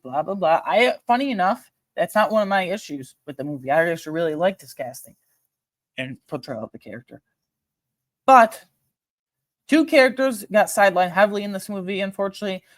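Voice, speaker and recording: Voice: mid-range voice, dry recording. A video host giving casual, rambling film commentary to viewers, emphatic and slangy with frequent asides.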